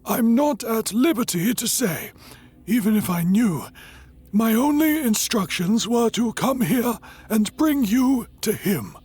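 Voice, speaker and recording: A woman's voice: a little high, lively intonation, slow, darkish tone, slightly rough, exaggerated voice. A woman playing a formal, unflappable courier to a vampire prince in an audio drama, speaking politely and measuredly. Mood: formal, composed.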